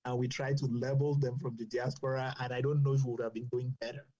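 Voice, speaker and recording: A man's voice: medium pitch, flat delivery, fast, dark tone, muffled recording. A man from Cameroon, a doctor speaking earnestly as a podcast guest, often restarting his sentences. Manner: earnest, advocating, with frequent restarts. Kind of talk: earnest explanatory podcast talk.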